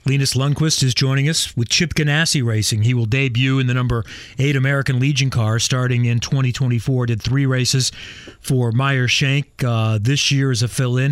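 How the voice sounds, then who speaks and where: A man's voice: mid-range voice, no room sound. A man hosting a motorsport talk show in the studio, reeling off his guest's career results and asking questions. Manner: informed, reads off guest's career stats. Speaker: show host. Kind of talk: radio sports talk interview.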